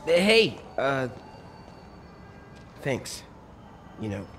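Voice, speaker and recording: A man's voice: mid-range voice, very animated, drawn-out words, fairly bright tone, a little hiss or hum, heavily acted. A man playing an earnest, nervous teenage boy in a video game, speaking casually and youthfully. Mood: nervous, awkward. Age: young adult. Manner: casual, youthful muttering to himself. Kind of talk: naturalistic video game acting.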